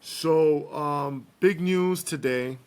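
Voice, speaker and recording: A man hosts a casual podcast in a slightly raised register. His voice is a little hoarse and scratchy, and his delivery is playful and jokey as he moves from banter into reading the news. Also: fairly high pitch, extremely slow. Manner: playful, joking, gives shout-outs. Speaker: podcast host.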